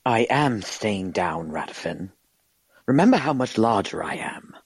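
A large, good-natured alien in an audio drama. His voice sits low and close, with a steady, lightly teasing delivery. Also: measured pace, husky, no room sound, full character voice. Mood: wry.

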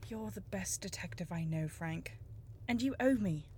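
A woman plays a businesslike female detective in a noir audio drama. The voice is a bit low, cool and pointed, pressing with determination. Faint ambience sits behind it. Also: expressive, normal speed, a little mellow, a little hiss or hum, close to an everyday voice. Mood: bitter.

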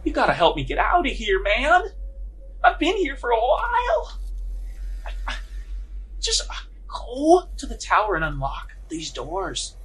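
A man's voice: high-pitched, huge pitch swings, a little slow, pauses often, a bit bright, slight background noise, voice pushed up, exaggerated voice, low-quality recording. A man voicing an unhinged old male prisoner, rambling and erratic, with a strained voice. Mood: desperate pleading.

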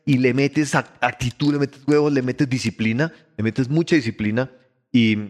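Fifties male guest, mid-pitched, animated storyteller who restarts his sentences, casual interview talk. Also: moderate pace. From Colombia, raised in Ecuador. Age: 50s. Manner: animated storyteller, frequent restarts, laughs easily. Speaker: musician guest.

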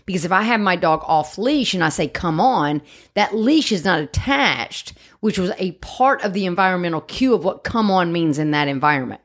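A woman's voice: on the low side, lively intonation, moderate pace. A woman, co-hosting a podcast and explaining dog training emphatically, often asking "right?". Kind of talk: casual chat.

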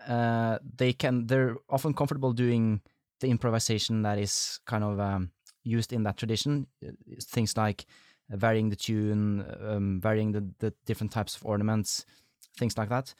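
A man hosts a conversational interview and works his way slowly toward each question. His talk is halting and full of fillers, and the recording sounds dry and close. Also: lowish voice, level tone, a little mellow, no echo. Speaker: podcast host.

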